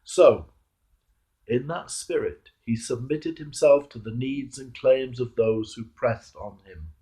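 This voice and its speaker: A man, a clergyman, reading a devotional sermon in a measured, emphatic manner with rhetorical turns. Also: medium pitch, lively intonation, dark tone, poor audio. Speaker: Anglican clergyman reading a reflection. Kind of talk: devotional sermon, read aloud.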